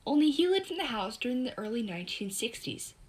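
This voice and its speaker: A woman's voice: a little high, very animated, fast talker. A female performer voicing an eager, bossy, clever young girl who confidently explains things in a scripted audio drama. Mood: intrigued.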